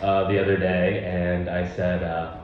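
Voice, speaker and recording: A man's voice: deep voice, flat delivery, measured pace, very echoey, highs cut off, a little hiss or hum. A man doing a stand-up comedy set in a rambling, profane, conversational way in a live venue. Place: live comedy show, on stage.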